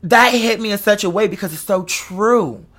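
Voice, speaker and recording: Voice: medium pitch, moderate pace, fairly bright tone. An online host in their twenties speaking candidly and emotionally to followers, with emphatic, slangy, profane phrasing and repetition for emphasis. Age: 20s. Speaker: online content creator and live show host.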